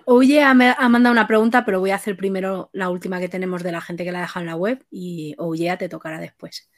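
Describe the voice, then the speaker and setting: A woman's voice: on the low side, fairly even intonation, fast talker, dry recording, clean studio sound. A woman with an accent from Spain hosts an interview in an informal, chatty way. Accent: Spain Spanish. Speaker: interview host. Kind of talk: casual hosting, reading audience questions.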